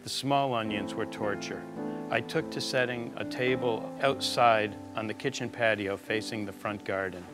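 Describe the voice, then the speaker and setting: A man's voice: lowish voice, moderate pace. A man reading a personal memoir aloud as scripted narration. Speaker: reader of a memoir. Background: plucked guitar music.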